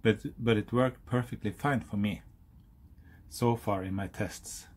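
A man in his forties from Norway, speaking English with a Norwegian accent, explaining a hobby project in a halting, self-correcting way. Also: fairly low pitch, fairly even intonation. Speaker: hobbyist YouTuber. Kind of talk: casual DIY explainer. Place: outdoors on a hilltop, then indoors at a workbench.

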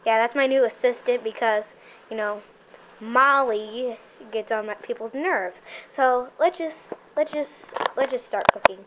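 A woman's voice: a little high, measured pace, fairly bright tone. A woman hosting a playful homemade cooking show in a kitchen, bossy and offhand, with lots of hesitant fillers, recorded on a handheld camera. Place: home kitchen. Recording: noisy home camera recording.

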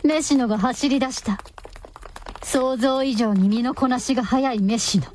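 A woman's voice: on the high side, a little slow, a little mellow, slight background noise. A woman narrator reading a radio drama in a scripted, dramatic storytelling style.

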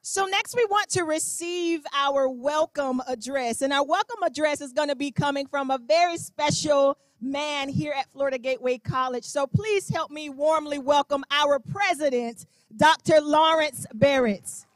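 A young adult woman emceeing an event, briskly introducing performers and speakers on a handheld mic in a large gym. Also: high-pitched, no echo. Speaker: program emcee. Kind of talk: event hosting. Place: large gymnasium auditorium. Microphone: handheld microphone.

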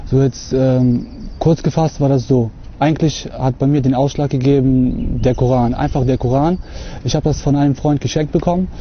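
A young man from Russia talking to camera outdoors on a lawn, earnest and hesitant, filling pauses often. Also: mid-range voice, steady tone, highs cut off, a little hiss or hum. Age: young adult. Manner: hesitant, many fillers, earnest. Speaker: convert giving a testimony. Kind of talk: unscripted talk to camera.

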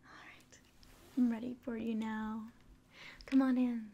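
Female, 20s, ASMR roleplay. High, mellow, soft voice drifting into whispers, measured and polite. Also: level tone, highs cut off. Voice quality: soft, breathy, often whispering. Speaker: ASMR performer. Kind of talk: ASMR roleplay as an alien doctor giving an exam. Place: small quiet room indoors.

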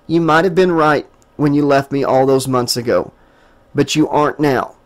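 A man's voice: mid-range voice, normal speed, natural voice. Male narrator doing a desperate young man, insistent, storybook reading. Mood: earnest. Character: young man, desperate, trying to protect her.

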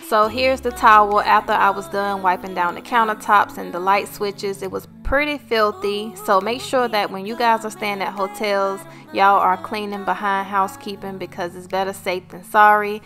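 A woman's voice: mid-range voice, fairly even intonation, normal speed, hardly any pauses, pushed and bright. An American woman giving a casual, chatty voice-over narration as a vlog host. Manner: chatty, informal. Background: background music.

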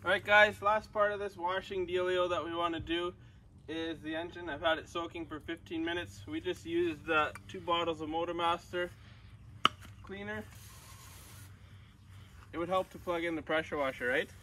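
A man in his forties talks viewers through a hobby repair in a relaxed, chatty way, his voice sitting a little high for a man. He is recorded by a camera a few feet away in a home sunroom, with faint background noise. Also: normal speed. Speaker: vlog host. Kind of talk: casual hobby vlog. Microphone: camera at a distance.

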